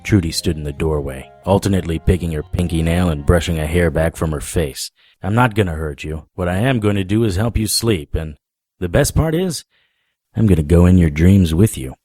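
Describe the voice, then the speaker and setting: An actor playing a wry, world-weary male paranormal investigator, narrating a first-person noir audio drama in a deadpan manner. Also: deep voice, fairly quick, no room sound, faint music behind. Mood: calm, reassuring.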